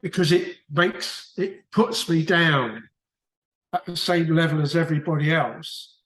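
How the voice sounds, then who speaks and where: Man, British accent, casual book club chat, rambling, restarts sentences, slightly high voice, echoey room. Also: a little slow, a bit bright. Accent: British English. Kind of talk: casual discussion on a call.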